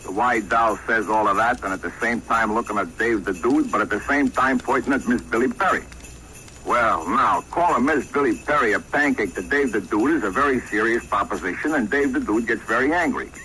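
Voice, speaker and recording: A man's voice: mid-range voice, fairly quick, bright, forceful tone, soft background music, poor audio. A man narrating a slangy, wisecracking comic story in 1940s radio style, on an old broadcast recording.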